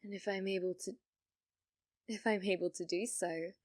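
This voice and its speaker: A woman's voice: mid-range voice, steady tone, no echo, clean studio sound, natural voice. A woman playing a flirty, possessive yandere rental date in an ASMR roleplay, teasing and coy, coaxing the listener. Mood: coaxing, hopeful. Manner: teasing, coy, slow and deliberate, drops to whispers.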